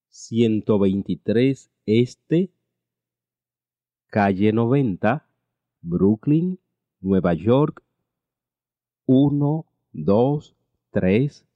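A man teaching a language lesson, reading each phrase slowly and repeating it twice. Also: fairly low pitch, animated, warm tone, dry recording, dull audio. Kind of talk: slow repeated translation drills.

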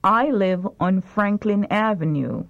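A woman reading short prompt sentences slowly and clearly for a language drill. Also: medium pitch, animated, highs cut off. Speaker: language lesson reader. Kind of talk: slow repeat-after-me language drill.

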